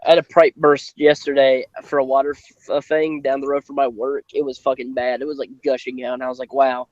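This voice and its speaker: A man's voice: medium pitch, little pitch movement, a little fast, dry recording. A man hosting a casual online radio show, crude and joking, swearing often. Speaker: host. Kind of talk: casual call-in chat. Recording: dry, dull lo-fi sound.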